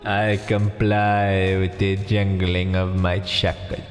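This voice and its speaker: A man reading a visual novel aloud for a let's play, slow and dramatic, stopping to joke with a friend. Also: low voice, flat delivery, in a large hall, faint music behind. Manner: reads slowly with pauses, breaks off to joke and laugh.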